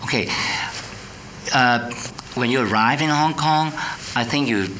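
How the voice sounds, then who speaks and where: A man's voice: medium pitch, measured pace, sharp tone, rough recording. A man hosting a studio interview, prompting his guest with questions and frequent short acknowledgments. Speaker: interview host. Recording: studio with clear background noise.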